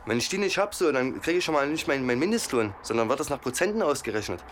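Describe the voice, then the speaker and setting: Guy chatting quick, medium pitch, everyday slangy explaining, bit of vehicle noise behind. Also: sing-song, a bit bright. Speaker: interviewee. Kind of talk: casual colloquial explaining. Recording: faint vehicle and ambient noise.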